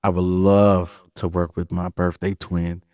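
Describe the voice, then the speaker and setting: A man's voice: deep voice, measured pace, no room sound, studio quality. A man from Chicago, guest on an interview podcast, chatting casually and acting out little quotes as he talks. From Chicago, Illinois. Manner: animated, laughs often, acts out quotes. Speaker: actor guest.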